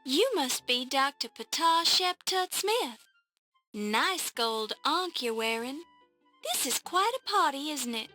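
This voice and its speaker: A woman's voice: high-pitched, expressive, takes it slowly, bright, forceful tone, soft background music, heavily acted. An actress playing a curious young woman reporter who asks polite, probing questions in a 1990s adventure game. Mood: inquisitive.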